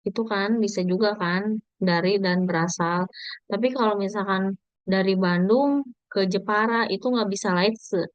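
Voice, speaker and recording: A woman teaching a language class, saying model sentences slowly and clearly for students to repeat, then explaining them. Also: mid-range voice, dry recording, dull audio. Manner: slow, deliberate model sentences for learners to repeat. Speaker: Mandarin teacher. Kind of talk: language lesson, explaining in Indonesian.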